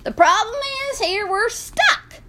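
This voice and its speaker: A woman's voice: very high-pitched, expressive, slow pace, background noise, voice pushed up, exaggerated voice. A woman voicing a kindly, easygoing male farmer in a children's story, friendly and reassuring.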